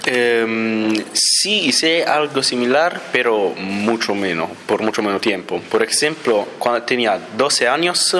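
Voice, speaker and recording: A man's voice: mid-range voice, expressive, measured pace, hardly any pauses, pushed and bright, slight background noise. A young man from Italy, a native Italian speaker, answering interview questions hesitantly and searching for words. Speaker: exchange student guest.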